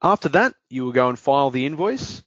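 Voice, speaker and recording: Male presenter, measured explainer, dry and dull lo-fi web audio. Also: mid-range voice, sing-song, a bit bright, no echo. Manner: measured, explanatory, some fillers. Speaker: webinar presenter, business development manager. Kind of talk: product demo webinar.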